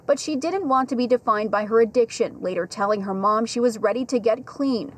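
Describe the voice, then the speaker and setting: A woman with a fairly high voice reports live for local TV news from outside a facility at dusk. She holds a handheld mic and keeps a measured, clear broadcast delivery. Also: slight background noise. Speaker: field reporter. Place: outdoors at a rehab facility at dusk. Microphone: handheld station mic.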